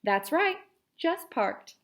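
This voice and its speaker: A woman's voice: high voice, very animated, slow pace, pitched above the performer's own voice, full character voice. A woman voicing a stubborn, self-assured female storybook cat who talks in a matter-of-fact, condescending and dismissive way. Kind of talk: children's storybook character read aloud.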